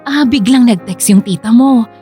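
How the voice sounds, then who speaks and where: Actress as middle-aged mom, fussing, fairly high voice, radio drama acting, faint music behind. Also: female voice, moderate pace, dark tone, lightly acted. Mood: flustered. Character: middle-aged mother of grown children, fussing, motherly.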